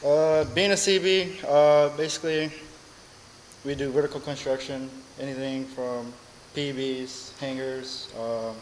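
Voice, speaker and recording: A man's voice: mid-range voice, steady tone, measured pace, long reverb tail, a little hiss or hum, poor audio. A man from Okinawa reading announcements and answering interview questions politely and formally. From Okinawa, Japan. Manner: polite, formal, says sir. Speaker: sailor being interviewed.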